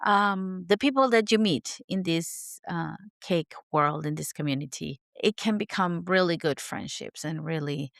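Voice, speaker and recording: A raspy, hoarse woman with a sore throat hosting her podcast in an unscripted, rambling chat, laughing at herself. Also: fairly low pitch, unhurried, few pauses, dry recording, clean studio sound. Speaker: podcast host.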